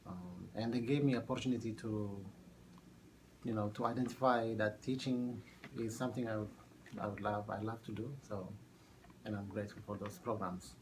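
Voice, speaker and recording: A man in his thirties from Ethiopia, a math teacher answering oral history questions in a halting way with many fillers. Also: lowish voice, steady tone, noisy background. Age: 30s.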